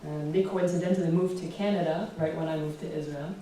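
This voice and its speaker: American young woman in an echoey hall. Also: low-pitched. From United States. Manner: relaxed, fills pauses with ums. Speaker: guest speaker. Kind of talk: public talk with slides. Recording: roomy echo, distant, faint hiss.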